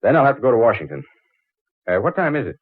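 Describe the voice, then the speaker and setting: A man's voice: mid-range voice, very animated, husky. A man playing an earnest, awkward young inventor in love, speaking hesitantly in 1940s radio drama style. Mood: shyly eager. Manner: hesitant, halting delivery. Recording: tinny, muffled vintage radio recording.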